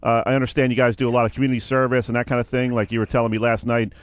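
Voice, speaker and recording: A man's voice: medium pitch, level tone, racing, no echo. A man hosting a sports radio show, asking chatty questions with frequent fillers and restarts. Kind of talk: casual radio interview. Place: radio studio.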